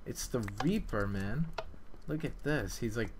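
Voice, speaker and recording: Guy doing chill gaming dev commentary, medium pitch, kinda halting and informal. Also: animated, background noise. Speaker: video game map developer and video host. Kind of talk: casual gameplay commentary, development vlog.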